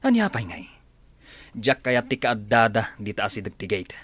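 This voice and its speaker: A man's voice: fairly low pitch, very animated, normal speed, a bit bright. An actor playing an impatient male schemer in a radio drama, brusque and dramatic. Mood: irritated, wary.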